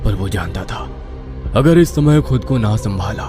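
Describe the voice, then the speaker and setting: A man's voice: on the low side, lively intonation, a little slow, music in the background. A man narrating a scripted horror story in a suspenseful, theatrical way.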